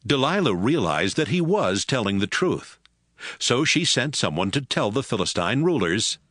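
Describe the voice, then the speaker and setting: A man narrating scripture in a steady, even storytelling manner. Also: mid-range voice, moderate pace, dry recording, clean studio sound.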